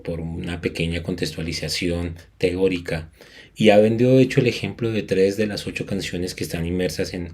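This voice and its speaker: A man from Colombia gives a conference lecture as a music educator, earnestly stressing his points and often restarting his sentences. His voice sits low and sounds mellow and warm. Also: slight background noise. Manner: earnest, emphatic, with frequent restarts. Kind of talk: academic conference talk.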